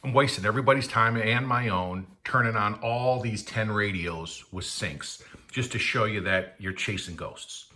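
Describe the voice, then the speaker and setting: A man's voice: fairly low pitch, moderate pace, hardly any pauses, pushed and bright. A man giving a casual, unscripted gear demonstration as a hobbyist, blunt and opinionated in manner. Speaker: radio hobbyist demonstrating equipment.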